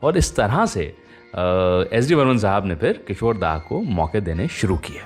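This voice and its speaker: Animated male radio host telling stories. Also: lowish voice, a little slow, faint music behind. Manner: chatty, anecdotal, addressing listeners directly. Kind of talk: conversational radio storytelling.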